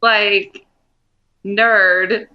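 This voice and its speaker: A woman's voice: medium pitch, steady tone, drawn-out words, dull audio. A woman co-hosting a casual pop culture podcast, informal and slangy as she shares her opinions. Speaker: podcast co-host. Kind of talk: casual podcast banter about films and comics.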